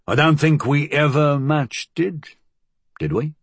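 A man voicing a huge, shaggy, wary old crook in an audiobook, with a rough dialect. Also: fairly high pitch, no echo, pitched above the performer's own voice, full character voice. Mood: wary reminiscing. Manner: rough dialect, slow and appraising.

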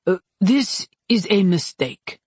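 A woman voicing a male priest of a dark god in an audiobook, wry and placating, with a soothing, reasonable manner. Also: mid-range voice, big pitch swings, takes it slowly, husky, no room sound, voice pushed up, full character voice. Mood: dry, uneasy. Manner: soothing, reasonable, dryly humorous. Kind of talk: audiobook character acting.

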